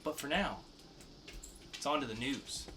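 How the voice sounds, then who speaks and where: A man's voice: mid-range voice, unhurried, over loud music. A man co-hosting a podcast, talking casually through pop-culture news. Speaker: podcast co-host.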